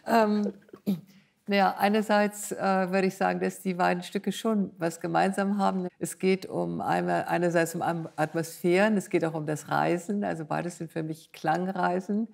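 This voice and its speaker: A woman's voice: fairly low pitch, fairly even intonation, normal speed, creaky, a little mellow. An older woman, a composer being interviewed, explaining her work in a thoughtful, conversational way. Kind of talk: reflective conversational interview in front of an audience.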